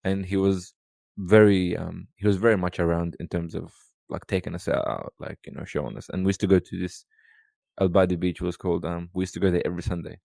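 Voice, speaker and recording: A man's voice: low-pitched, steady tone, fairly quick, gravelly, warm tone, no echo, clean studio sound. A man in his thirties from Sudan, a podcast guest, telling childhood stories in a rambling casual way with lots of "you know.". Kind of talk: casual storytelling conversation.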